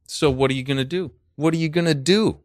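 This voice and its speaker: A man's voice: fairly high pitch, fast, no echo, studio quality, pitched above the performer's own voice, natural voice. A man improvising a comedy sketch as a stubborn, indignant homeowner who argues back with smug defiance.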